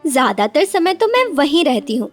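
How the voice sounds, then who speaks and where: A woman voicing a devoted, sensible young village wife in an animated moral story, with a caring, expressive and dramatic manner. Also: high-pitched, moderate pace, faint music behind. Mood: playful, brisk.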